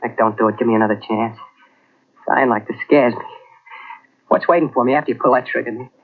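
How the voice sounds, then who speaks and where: A man's voice: mid-range voice, quick pace, close to an everyday voice. An actor playing a frightened small-time crook who narrates his own story, nervous and pleading, in 1940s radio drama style. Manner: nervous, pleading, rising to panicked shouts. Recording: muffled old radio transcription with hiss.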